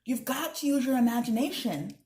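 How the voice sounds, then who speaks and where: A woman's voice: a little high, lively intonation, a little fast, a little mellow, voice pushed up, lightly acted. A woman voicing an encouraging but firm storybook mother who coaxes and instructs her children. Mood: coaxing.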